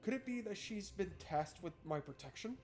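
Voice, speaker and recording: A man's voice: high-pitched, expressive, moderate pace, darkish tone. A man hosting a let's play, chatting informally and playfully and reading game text aloud. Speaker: gaming video host. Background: game music.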